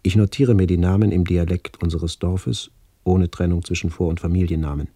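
Middle-aged man, very low gravelly voice, dark tone, sober scripted reminiscence. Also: fairly even intonation, brisk, no echo. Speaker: author-narrator of a memoir radio play. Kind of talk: scripted literary narration, reminiscence.

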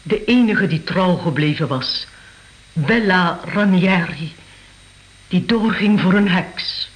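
A woman's voice: fairly low pitch, lively intonation, takes it slowly, rough recording. An actress playing an aristocratic lady who tells her family's history in a stately, formal, theatrical way for a radio drama. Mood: solemn storytelling.

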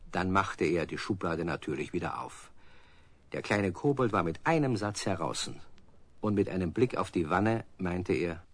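A man's voice: low voice, animated, normal speed. A man narrating a children's radio play as a storyteller, in scripted prose.

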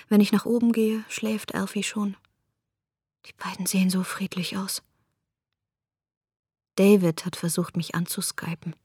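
An actress in a radio drama voicing a worn-out mother who speaks quietly, sometimes in a near whisper. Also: lowish voice, breathy edge, no room sound, studio quality. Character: worn-out mother at a breaking point. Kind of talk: radio drama inner monologue.

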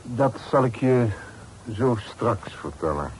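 Imposing middle-aged gentleman, radio play. Dry, terse and formal, average pitch, slightly noisy old recording. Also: lively intonation, slow. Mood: calm. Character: curt, imposing middle-aged father, coolly sardonic. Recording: old radio play, faint background hiss.

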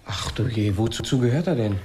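An actor playing a lonely, emotional man on a remote planet in a German radio play, speaking in a halting, earnest way. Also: medium pitch, animated, a little mellow, slight background noise, close to an everyday voice. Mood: bewildered.

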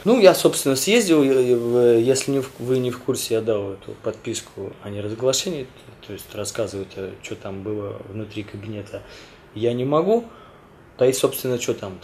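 A man vlogging in a casual, halting way, speaking while ill with a weakened voice. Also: lowish voice, a little hiss or hum. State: ill, voice affected by a cold. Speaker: video blogger addressing viewers. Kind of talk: casual chat to camera.

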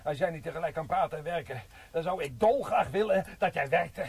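A man's voice: a little high, animated, fairly quick, creaky, breathy edge, heavily acted. A man playing a groaning trapped sergeant. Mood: irritated. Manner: groaning, irritable, strained. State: in pain. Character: trapped, injured male sergeant under a bomb. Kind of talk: radio play acting.

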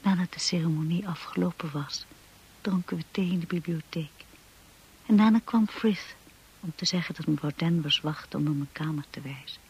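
An actress playing a timid, anxious young bride in a radio play, narrating and speaking in a hushed, hesitant way. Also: female voice, sits low, moderate pace, full character voice, poor audio. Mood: uneasy, reflective. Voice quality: breathy, husky.

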